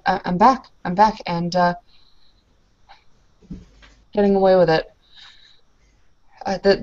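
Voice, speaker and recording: A woman's voice: mid-range voice, darkish tone. A woman in a tabletop roleplaying game, switching between speaking as her character and narrating what she does, hesitant and full of ums. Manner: hesitant, with many ums and uhs. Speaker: tabletop player. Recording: muffled lo-fi recording.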